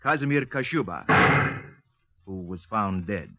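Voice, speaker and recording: A man's voice: fairly low pitch, animated, takes it slowly, no echo. A man announcing formally from a script in the declarative style of 1950s radio. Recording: dry, muffled narrowband vintage radio recording.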